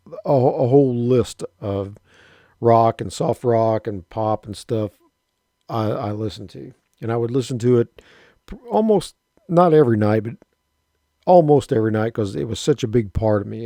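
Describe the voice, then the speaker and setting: A man's voice: on the low side, mellow, no echo, studio quality. A man in his fifties from Southern Illinois, telling a rambling, folksy story about his early radio hobby in a casual way. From Southern Illinois, USA. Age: 50s. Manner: rambling, folksy, with mild cursing and frequent restarts. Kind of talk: casual spoken reminiscence.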